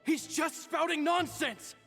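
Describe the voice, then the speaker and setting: A high-voiced, loyal henchman. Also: a little slow, vocal fry, sharp tone, full character voice. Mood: indignant. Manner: protective, earnest, emphatic. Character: loyal, indignant young male henchman protecting his boss. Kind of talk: video game voice acting. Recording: music behind the dialogue. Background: game music.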